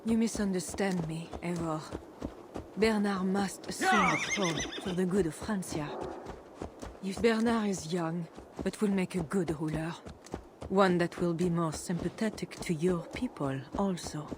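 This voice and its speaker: A woman playing a dignified, guarded and pious medieval Frankish queen in measured, formal video game dialogue. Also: fairly low pitch, noisy background, close to an everyday voice. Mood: earnest, resolute. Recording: music behind the voice.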